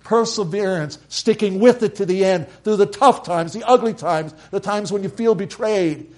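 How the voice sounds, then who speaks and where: An older man preaching with a slight Norwegian accent and a fairly high voice for a man. His delivery swings from hushed, measured phrases to loud, emphatic peaks. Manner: builds to loud emphatic peaks, with quiet drops. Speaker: pastor. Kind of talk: sermon.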